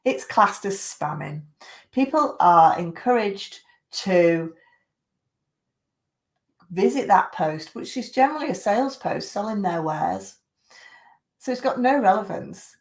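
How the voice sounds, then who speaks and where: A middle-aged woman with a croaky voice from a chest infection, giving social media tips in a chatty live stream. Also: mid-range voice, expressive, slow, pauses often. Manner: chatty, greets viewers by name.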